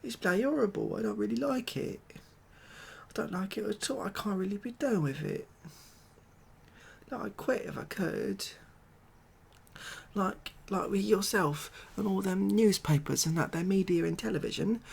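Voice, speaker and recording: Creaky-voiced British man, hesitant and deadpan. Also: high-pitched, a little slow. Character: glum, overwhelmed ex-builder made president of the world. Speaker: ASMR roleplay performer. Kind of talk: soft-spoken ASMR comedy roleplay monologue.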